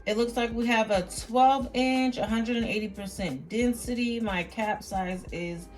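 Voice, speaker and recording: A woman's voice: fairly high pitch, fairly even intonation, measured pace, darkish tone, soft background music. A woman hosting a beauty vlog, talking casually and directly to viewers in an informal, chatty review style. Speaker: beauty vlogger and host.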